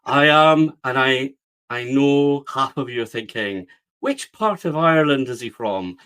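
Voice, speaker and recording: Guest: earnest Scotsman, middle-aged, West of Scotland accent, mid-pitched, restarts mid-thought. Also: animated, a little slow, fairly bright tone, clean tone. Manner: earnest, repeats words as he restarts. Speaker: guest, publisher. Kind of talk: reflective storytelling interview.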